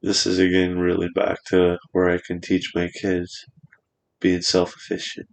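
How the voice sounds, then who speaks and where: Young man in his twenties, very deep voice, flat delivery, rambling, swears casually, podcast studio. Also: measured pace, vocal fry, poor audio. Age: 20s.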